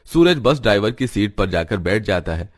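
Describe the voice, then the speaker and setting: A man's voice: on the low side, very animated, moderate pace, no echo. A man reading scripted story narration for a cartoon.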